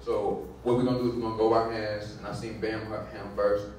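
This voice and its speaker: A man's voice: on the low side, little pitch movement, darkish tone, slight background noise. A man moderating an open discussion, calling on speakers in turn. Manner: brisk, organizing who speaks next. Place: hotel conference room. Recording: echoey room, from far off.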